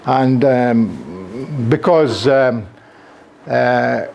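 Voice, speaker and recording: A man's voice: mid-range voice, very slow, gravelly. An older man from Scotland with a Scottish accent gives a conference lecture in a classroom with a projector, gesturing freely and using frequent fillers, recorded by a camera at the back of the room. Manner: animated, gesturing while speaking, frequent fillers.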